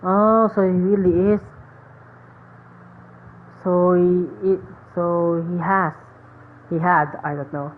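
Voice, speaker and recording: Low-voiced woman gamer on a dull mic. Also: steady tone. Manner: halting, thinking aloud. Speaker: game streamer. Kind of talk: casual gameplay commentary. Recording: dull lo-fi mic, faint background noise.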